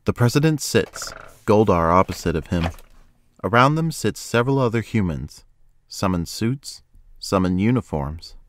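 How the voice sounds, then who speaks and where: Male narrator, low register, reading scripted scenes for an audio drama. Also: animated, moderate pace, slight background noise.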